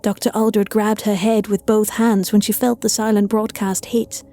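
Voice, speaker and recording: A woman narrating a scripted horror story in a measured, dramatic storytelling manner. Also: mid-range voice, little pitch movement, warm tone. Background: faint music.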